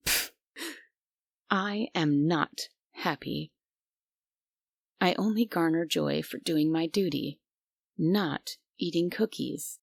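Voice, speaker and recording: A woman's voice: sits low, slow pace, gravelly, pauses often, dry recording, heavily acted. A woman playing a strict, stubborn guardian angel who is bossy, prim and grudgingly caring, in an immersive roleplay audio drama. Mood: stubborn, flustered. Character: strict, stubborn but secretly kind female guardian angel.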